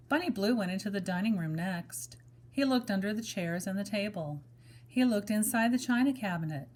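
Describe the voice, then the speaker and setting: A woman reading a children's story aloud in a measured, expressive manner, as if to young children. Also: medium pitch. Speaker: storybook reader. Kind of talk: children's story read-aloud with brief asides to listeners.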